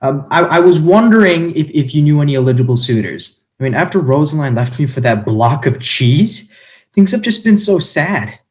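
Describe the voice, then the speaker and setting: Casting: dim, self-adoring young lord played by a man, stammering and whiny, highly animated delivery for stage comedy. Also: normal speed, natural voice. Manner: stammering, boastful. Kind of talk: comic stage play reading.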